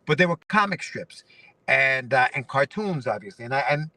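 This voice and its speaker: Bright-voiced man in his forties, off-mic. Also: medium pitch, lively intonation, normal speed, dry recording, far from the mic. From Ecuador. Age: 40s. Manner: rambling, many restarts and fillers. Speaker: guest comic writer. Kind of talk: casual interview chat.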